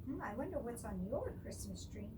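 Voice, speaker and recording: A woman's voice: a little high, big pitch swings, normal speed, warm tone. A woman reading a rhyming picture book aloud expressively and theatrically, with chatty asides. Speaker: storyteller. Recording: distant microphone with clear background noise.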